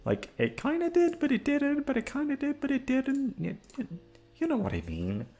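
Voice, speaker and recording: A man's voice: very high-pitched, huge pitch swings, normal speed, dark tone. A man chatting casually to his listeners in an outro, rambling and self-deprecating with lots of fillers. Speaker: voice actor.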